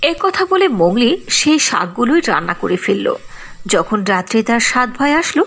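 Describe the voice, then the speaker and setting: A woman reads a cartoon fairy tale as narrator. Her voice is a little high and moves widely in pitch, shaped by a storybook rhythm. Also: normal speed, creaky, slightly rough. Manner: expressive and storytelling.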